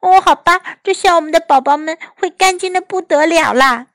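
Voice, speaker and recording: Lady doing a little storybook girl, excitable, really high childlike voice, dry recording. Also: a little fast, no echo. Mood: excited, playful. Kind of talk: children's storybook character reading.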